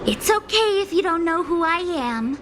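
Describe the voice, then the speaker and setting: A woman's voice: very high-pitched, lively intonation, moderate pace, noisy background. A woman voicing a shrewd, composed female diviner who lectures bluntly in an anime-style game. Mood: self-assured.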